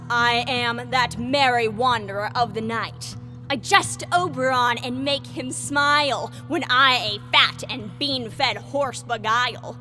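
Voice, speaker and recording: A woman's voice: sits high, sharp tone, exaggerated voice. A woman playing a mischievous, boastful fairy sprite and jester, telling tales in Shakespearean verse for an audio drama. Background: music.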